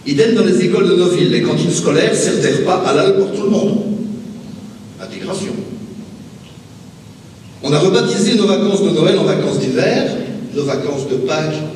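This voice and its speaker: A man with a Belgian French accent gives a satirical public speech in an ironic, mock-reassuring way, pausing before his punchlines. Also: a little high, animated, a little mellow. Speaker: invited speaker at a political conference. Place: large echoing hall with an audience. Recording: very echoey hall, distant mic, faint noise.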